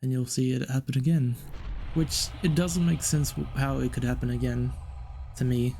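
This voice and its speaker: A man's voice: mid-range voice, fairly even intonation, normal speed, creaky, mellow, slight background noise. A man giving casual, puzzled, hesitant gameplay commentary, trailing off and repeating himself. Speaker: gaming video narrator. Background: game music.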